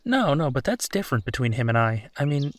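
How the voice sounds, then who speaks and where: A man's voice: medium pitch, sing-song, a little hiss or hum, lightly acted. A man playing a supportive, affectionate husband in an intimate comfort roleplay, talking in a reassuring, conversational way. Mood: gentle, supportive. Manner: reassuring, conversational, unhurried pauses. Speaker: audio roleplay performer.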